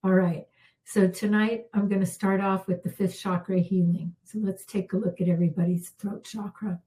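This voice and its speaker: A middle-aged woman, a healer, leading a calm guided spiritual healing with unhurried talk and soft pauses, her voice slightly worn. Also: mid-range voice, a little hiss or hum, low-quality recording. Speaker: intuitive healer hosting a live session.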